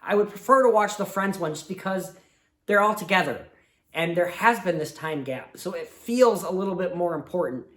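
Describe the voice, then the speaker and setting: A man's voice: high voice, animated, moderate pace, fairly bright tone. Man, sick, sniffly, fading voice, rambling movie chat, echoey room. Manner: rambling, heartfelt, chatty. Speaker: movie review host. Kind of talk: casual solo movie review. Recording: roomy echo.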